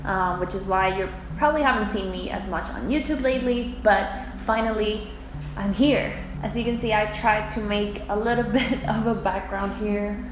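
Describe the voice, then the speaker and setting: A woman's voice: mid-range voice, noisy background. A young woman from the who speaks English, chatting in a giggly, casual vlog style from her apartment. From Dominican Republic. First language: Spanish. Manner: chatty, giggly, quick asides. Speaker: vlogger. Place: apartment room. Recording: echoey room with background music.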